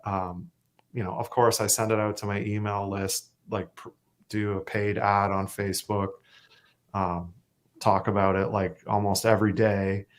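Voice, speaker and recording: A Canadian man with a self-described monotone voice, talking casually as a musician guest, rambling with fillers and restarts. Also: fairly low pitch, unhurried. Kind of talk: casual interview chat.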